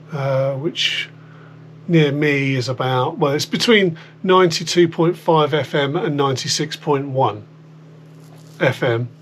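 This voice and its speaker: A British man giving a casual hands-on product demonstration, rambling informally as he explains things step by step. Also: mid-range voice. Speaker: host of a radio gear demonstration video. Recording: clear background noise with electrical hum.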